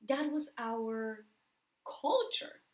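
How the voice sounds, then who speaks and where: A woman with a Polish accent delivers a motivational talk to camera. Her delivery is emphatic, with words repeated for stress. She slows deliberately on the phrases that matter most. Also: fairly high pitch, animated. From Poland. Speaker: motivational speaker.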